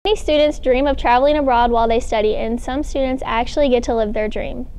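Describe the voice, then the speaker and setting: A teenage girl reads school news script as anchor, moving at a quick clip. Her voice sits a little high for a woman. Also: fairly even intonation, darkish tone, clean tone. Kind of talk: scripted narration.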